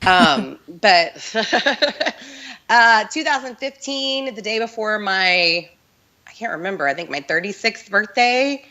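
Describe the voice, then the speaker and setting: Hoarse Texan woman on a video call. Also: medium pitch, expressive, takes it slowly, sharp tone. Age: 30s. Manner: casual, talks with many "like"s. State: hoarse from the flu. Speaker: guest. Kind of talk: casual remote interview.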